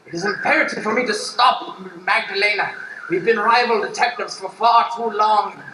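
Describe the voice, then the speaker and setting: A man's voice: sits high, steady tone, normal speed, natural voice. A man playing a driven, vengeful detective in an improvised radio play, with a theatrical, melodramatic delivery on a stage. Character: driven, vengeful male detective hunting his rival, melodramatic. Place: theater stage before an audience. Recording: roomy stage, distant mic, noisy.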